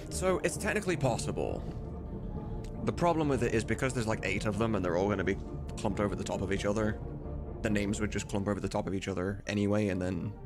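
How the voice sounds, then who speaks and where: Low-voiced man chatting casually over loud music. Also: brisk. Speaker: streamer and game mod developer. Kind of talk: casual livestream chat while working. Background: loud game music, keyboard typing.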